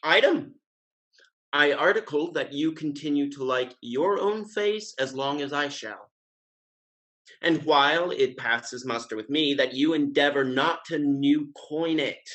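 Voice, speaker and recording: A man's voice: on the high side, a little slow, fairly bright tone. A man playing a witty playboy suitor in a Restoration comedy, speaking in a wry, mock-formal, declamatory way. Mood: mock-formal, declaiming. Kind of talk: Restoration comedy stage dialogue.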